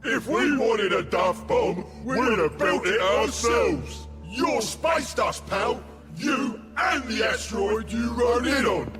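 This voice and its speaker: An actor playing a loud, aggressive, thuggish alien warrior in a radio comedy. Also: male voice, high voice, lively intonation, takes it slowly, loud music behind the voice. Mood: belligerent outrage. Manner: aggressive, confrontational. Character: belligerent alien armor fiend, loud and thuggish. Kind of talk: radio comedy drama acting.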